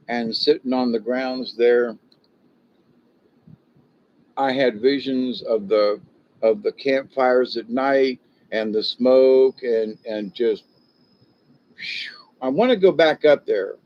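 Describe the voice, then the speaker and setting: A man's voice: mid-range voice, takes it slowly, pauses often. An older man, born in Ohio and raised in the South, speaks with a slight Southern drawl. He hosts a rambling, folksy livestream chat, chuckling at his own jokes and talking back to viewers. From born in Ohio, raised in Florida and South Georgia. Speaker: livestream host chatting with viewers. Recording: dull lo-fi audio.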